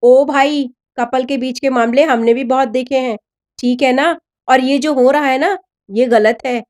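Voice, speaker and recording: A woman's voice: high voice, steady tone, measured pace, a little mellow. A woman voicing a protective, confident older schoolboy with a blunt, brotherly way of talking, in a story reading. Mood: stern, challenging.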